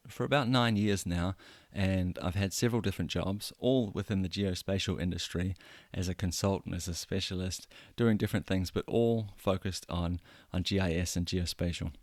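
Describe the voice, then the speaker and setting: A man in his thirties from New Zealand with a New Zealand accent, hosting a solo podcast in an earnest, self-deprecating way with halting restarts. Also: sits low, no echo, studio quality. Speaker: podcast host.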